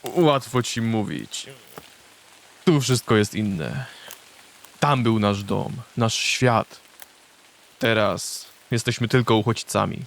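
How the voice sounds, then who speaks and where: An actor playing a weary but resolute, homesick male draenei warrior with a gravelly voice in a fantasy audio drama. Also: fairly low pitch, slow, long pauses. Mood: bitter, mournful.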